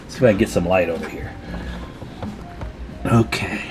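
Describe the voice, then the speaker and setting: A man's voice: low voice, moderate pace, faint music behind. A man presenting a hands-on repair tutorial in a casual, plain-spoken, advising way.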